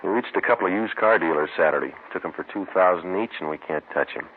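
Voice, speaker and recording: An actor playing a terse, matter-of-fact police detective sergeant in a mid-century radio drama. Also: male voice, deep voice, quick pace, lightly acted. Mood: businesslike. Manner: clipped, matter-of-fact, understated. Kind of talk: 1950s radio drama acting, narration and dialogue. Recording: muffled, noisy old-time radio recording.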